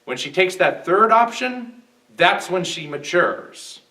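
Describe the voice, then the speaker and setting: Higher-pitched man, slightly off the mic. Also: expressive, takes it slowly, distant mic, a crowd in the background. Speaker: guest film reviewer. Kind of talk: scripted analytical commentary.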